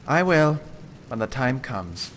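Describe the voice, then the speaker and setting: A man voicing a quiet, guarded adventuring companion in a fantasy game, speaking in a hushed, breathy way. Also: medium pitch, sing-song, slow, background noise.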